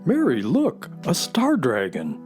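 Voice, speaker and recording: A woman's voice: a bass voice, huge pitch swings, takes it slowly, darkish tone, faint music behind, full character voice. A woman playing a skittish, questioning animal sidekick in a children's radio play. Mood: amazed. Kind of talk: children's radio theater acting.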